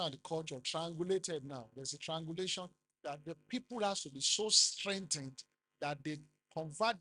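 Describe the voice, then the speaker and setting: A middle-aged man with a Nigerian accent giving a business seminar talk, rising loudly for emphasis and quizzing the audience. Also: fairly high pitch, a little hiss or hum. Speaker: conference speaker.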